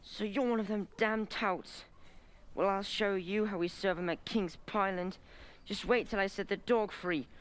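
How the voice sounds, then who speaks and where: A man's voice: high-pitched, fairly even intonation, a little slow, a bit bright, smooth. A man playing a blunt, suspicious young stable lad with a youthful voice in an old-time radio drama. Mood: suspicious, combative. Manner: blunt, combative.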